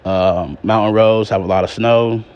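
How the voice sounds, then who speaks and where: A man's voice: on the low side, moderate pace, clear, resonant tone, dull audio. A man in his thirties chatting casually and plainly as he drives a truck, like a vlogger on the road. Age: 30s. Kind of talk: casual chat while driving. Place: inside a truck cab on the road. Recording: steady road and engine noise. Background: truck cab driving noise.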